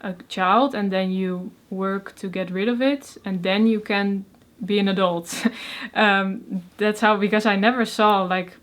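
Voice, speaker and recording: A woman's voice: medium pitch, fairly even intonation, unhurried, few pauses. Young Dutch woman who stutters. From Netherlands. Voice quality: stutters, repeating and breaking off first sounds. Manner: soft-spoken, many fillers, frequent laughs. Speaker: interview guest. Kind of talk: casual interview conversation.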